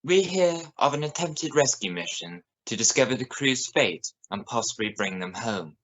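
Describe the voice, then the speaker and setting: A man playing a serious, probing evening news reporter in a science fiction radio play, speaking in a formal, measured way. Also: mid-range voice, expressive, a bit bright, slight background noise, natural voice, poor audio. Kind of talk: scripted radio news broadcast in a drama.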